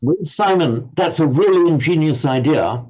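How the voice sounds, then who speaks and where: A man's voice: a little high, expressive, normal speed, a little mellow. A man teaching a Pali class online, explaining patiently and often stumbling over repeated words. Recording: slightly muffled audio.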